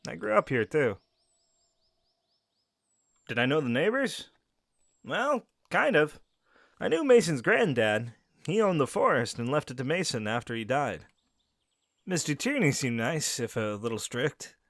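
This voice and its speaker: A man playing a gentle young werewolf, lively voice. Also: a little high, a little slow, creaky, pauses often, no room sound, clean studio sound, heavily acted. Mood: friendly. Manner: gentle, reassuring, speaks directly to the listener. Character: young male werewolf, friendly and caring pack beta. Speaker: audio roleplay character. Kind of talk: scripted audio roleplay addressing the listener.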